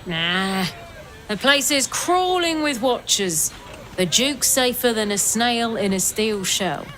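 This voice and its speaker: A stubborn fantasy-game gate guard. She is blunt and mocking, in a slightly high, unhurried voice. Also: lively intonation, fairly bright tone, a little hiss or hum, poor audio. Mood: dismissive. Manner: blunt, dismissive. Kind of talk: video game character acting.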